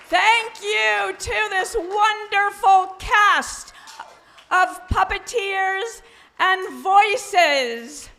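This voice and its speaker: Female live-event host, exclamatory announcer, extremely high and forceful. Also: very slow. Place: social hall, live.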